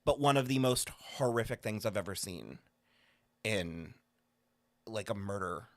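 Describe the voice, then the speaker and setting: Animated co-host losing his voice. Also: fairly low pitch, a little slow. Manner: animated, gushing, trails off and restarts. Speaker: podcast co-host. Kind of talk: casual chat while building.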